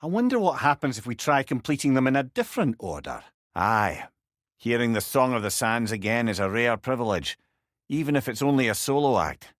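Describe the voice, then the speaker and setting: A man's voice: mid-range voice, fairly bright tone, no room sound, studio quality, close to an everyday voice. An older man with a Scottish accent playing a wry, eloquent old companion in a video game. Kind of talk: video game character dialogue.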